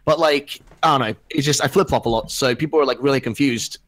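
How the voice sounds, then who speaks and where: A man's voice: mid-range voice, fast, fairly bright tone, no room sound. A man from Bosnia with a shifting, mixed English accent, rambling and swearing in a casual podcast chat. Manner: rambling, swearing, self-interrupting. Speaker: podcast host.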